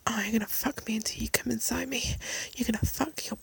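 A woman performing an intimate audio roleplay in a breathy, pleading, teasing voice. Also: a little high, fairly even intonation, fast talker, dry recording, in a whisper.

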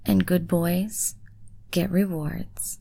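A woman making erotic ASMR audio, speaking softly and commandingly on a close mic, often slipping into a whisper. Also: on the low side, steady tone, drawn-out words, gravelly, no room sound. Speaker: ASMR creator.

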